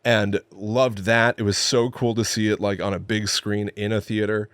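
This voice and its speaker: A man's voice: lowish voice, level tone, moderate pace, a bit bright, dry recording. A man with an American accent co-hosting a podcast, chatting casually about film in an enthusiastic, emphatic way. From New England, United States. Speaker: podcast co-host.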